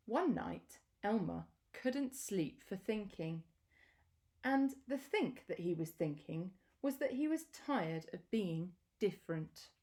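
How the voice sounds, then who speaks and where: A woman reading a children's picture book aloud in a deliberate, expressive storytelling manner with pauses. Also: mid-range voice.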